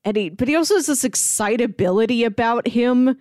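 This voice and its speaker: A woman's voice: a little high, brisk, darkish tone, dry recording, clean studio sound. A woman co-hosting a film podcast, talking in a casual, conversational way.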